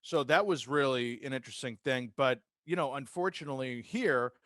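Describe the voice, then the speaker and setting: A man's voice: medium pitch, fairly quick, fairly bright tone, no echo. Male podcast guest, American accent, mid-range voice, conversational storyteller, very dry sound. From Massachusetts, United States. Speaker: podcast guest, sports public address announcer and radio host. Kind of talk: casual conversational interview, career storytelling.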